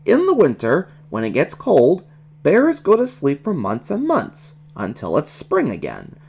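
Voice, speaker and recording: A woman doing an eager, high-voiced little boy. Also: wildly swooping pitch, measured pace, gravelly, darkish tone, husky, heavily acted. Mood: explaining. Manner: eager, explanatory. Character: eager, bright-minded boy explaining things to friends.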